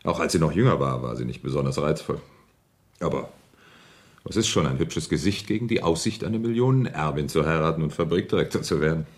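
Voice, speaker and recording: An actor in a German radio drama playing an embittered, cynical deputy director, with a blunt, exasperated manner. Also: male voice, extremely low pitch, lively intonation, brisk. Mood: sardonic, dry.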